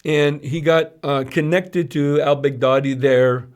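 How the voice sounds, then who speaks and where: A man's voice: on the high side, fairly even intonation, unhurried. A man co-hosting a podcast, giving conversational current-affairs commentary in an explanatory way, repeating phrases for emphasis. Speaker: podcast co-host.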